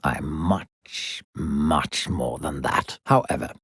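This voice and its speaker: A man playing a sinister, coaxing disembodied narrator who guides a child in a video game, gravelly, slow, theatrical and condescending. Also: a bit bright, no echo, clean studio sound, exaggerated voice. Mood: sly, ominous. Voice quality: deep, gravelly. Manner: smooth-talking, condescending, theatrical, slow and deliberate.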